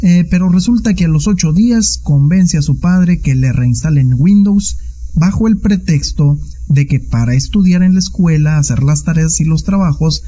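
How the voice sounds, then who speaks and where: A man's voice: fairly high pitch, mellow. A man with a Mexican accent leaving a polite, formal voice message, with some fillers. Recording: dull lo-fi recording.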